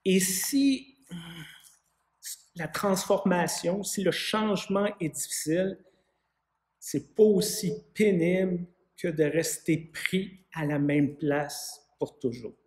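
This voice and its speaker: A man in his fifties with a Quebec French accent, giving a sermon as a pastor in a measured, conversational way with some hesitant phrasing. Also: high-pitched, darkish tone. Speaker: parish pastor. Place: small vaulted room with an arched window, indoors. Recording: echoey room.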